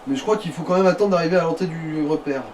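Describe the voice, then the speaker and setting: A man's voice: a little high, fast talker. A man giving casual, crude and mocking commentary on a video game, recorded at some distance from the mic with faint noise. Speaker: let's play co-commentator. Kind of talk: casual joking gameplay commentary.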